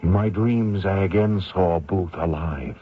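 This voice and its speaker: An actor playing a haunted American fugitive, grave, earnest and defensive, in an old radio drama. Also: male voice, low voice, unhurried, highs cut off, a little hiss or hum. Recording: thin dry old radio recording.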